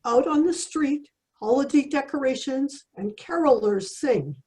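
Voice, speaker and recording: A woman's voice: high-pitched, expressive, unhurried, mellow, low-quality recording. A woman announcer reading scripted narration for an old-time radio drama.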